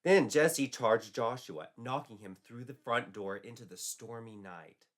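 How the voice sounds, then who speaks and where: A man's voice: mid-range voice, measured pace. A man narrating a folklore tale as a storyteller, in a dramatic scripted style.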